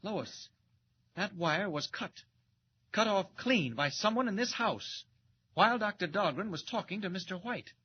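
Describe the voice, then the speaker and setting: A man's voice: high voice, expressive, measured pace. A man playing a mild-mannered reporter with a secret heroic side in a 1940s radio serial, often hesitant and flustered. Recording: dull old radio transcription with hiss.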